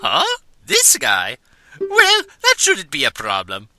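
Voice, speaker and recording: A man voicing an impish, boastful forest imp with a silly fake Italian accent, very high above his own voice. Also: wildly swooping pitch, slow pace, bright, forceful tone, heavily acted. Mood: goofy boastful. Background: game music.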